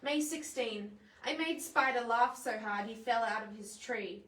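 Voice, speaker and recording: In an echoey room, a bit away from the microphone, a woman reads a children's storybook aloud. Her voice sits fairly high, and she is playful and expressive, dropping in chatty asides to her young audience. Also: measured pace, distant mic. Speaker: library storytime presenter. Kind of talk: children's picture book read-aloud with asides.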